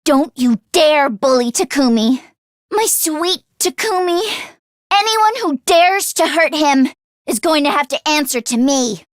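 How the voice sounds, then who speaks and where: A woman voicing an earnest, excitable teenage girl fighter in a video game, caring and anxious, with expressive, emotive delivery. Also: sits high, a little slow, no room sound, clean studio sound. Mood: protective. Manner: expressive and emotive, with sighs and gasps. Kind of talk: English video game voice acting.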